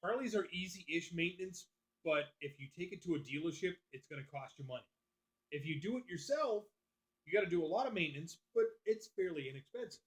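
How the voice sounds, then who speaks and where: A man hosts a casual live stream, answering his viewers one by one in a loose, profane way. His voice sits a bit high for a man. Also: animated, brisk, rough recording. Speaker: livestream host.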